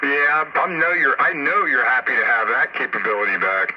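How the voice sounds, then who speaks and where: A man's voice: mid-range voice, steady tone, fairly quick. A man talking casually as a radio hobbyist in a chat over two-way radio. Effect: two-way radio transmission. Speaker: amateur radio operator. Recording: muffled, very noisy two-way radio.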